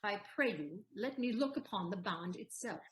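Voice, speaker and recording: A woman's voice: medium pitch, animated, normal speed, crowd noise, close to an everyday voice. A woman acting a composed, eloquent heroine posing as a lawyer in a Shakespeare audio play, formal and declamatory, in a roomy recording. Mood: formal, questioning.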